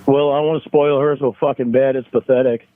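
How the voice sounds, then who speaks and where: A tearful, upset man in an emotional private call on a headset, talking haltingly and feeling sorry for himself. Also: medium pitch, little pitch movement, dry recording. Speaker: caller. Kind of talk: emotional private phone conversation. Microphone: headset on a recorded call. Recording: dull, narrow phone call audio.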